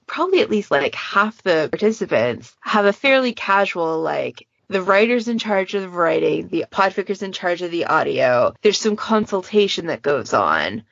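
Woman with lowish creaky voice, rambly podcast chat, kinda lo-fi sound. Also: a bit bright, dry recording. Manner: chatty, discursive, illustrates points with imagined quotes. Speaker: podfic challenge moderator. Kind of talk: casual podcast discussion.

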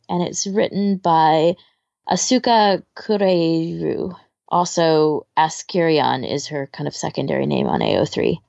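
A woman's voice: on the low side, gravelly, darkish tone, no echo, muffled recording. A woman in casual, informal chat as a podcast co-host, rambling and hesitant as she gives recommendations, often trailing off. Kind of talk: casual chat, recommending fan fiction audio.

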